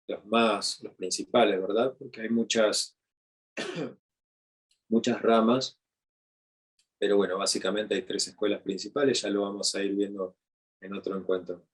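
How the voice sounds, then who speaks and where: A man with an Argentine Spanish accent giving an unscripted talk as a meditation teacher, speaking slowly with frequent fillers and restarts. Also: lowish voice, husky.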